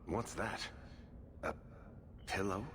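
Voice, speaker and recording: A man's voice: lowish voice, fairly even intonation, slow, fairly bright tone, a little hiss or hum. An actor playing a wry, irreverent male companion in a fantasy game, with a dry, sardonic way of talking. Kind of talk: dramatic game dialogue.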